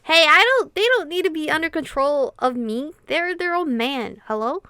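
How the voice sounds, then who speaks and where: A woman's voice: sits high, expressive, moderate pace, bright, forceful tone, dry recording. A woman gaming streamer reading a visual novel aloud, breaking into casual, giggly, chatty commentary between lines. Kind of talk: casual let's-play commentary mixed with read-aloud narration.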